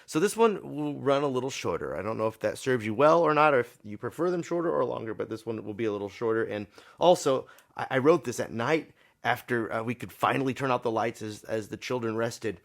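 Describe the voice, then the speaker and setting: A man's voice: medium pitch, expressive, a little fast, gravelly. A man, a pastor hosting his podcast sermon, his voice tired and a little scratchy, speaking informally with small repetitions.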